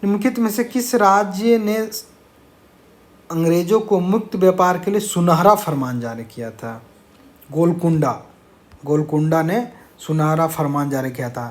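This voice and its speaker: A man's voice: fairly high pitch, normal speed, darkish tone. A man teaching an informal exam-prep lesson, explaining answers and mixing in English words.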